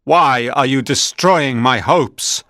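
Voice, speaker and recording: A man reading a storybook aloud, voicing a bitter, lonely male creature who speaks slowly with pleading and threats. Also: lowish voice, pushed and bright, no echo, studio quality. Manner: slow, pleading turning to threatening. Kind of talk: audiobook reading.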